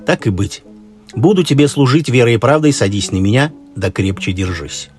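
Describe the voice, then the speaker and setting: A man's voice: mid-range voice, expressive, normal speed, darkish tone, natural voice. A man voicing a loyal grey wolf from a fairy tale, folksy and instructing. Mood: boastful, loyal. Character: gruff, helpful grey wolf, commanding and sympathetic. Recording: music behind the voice.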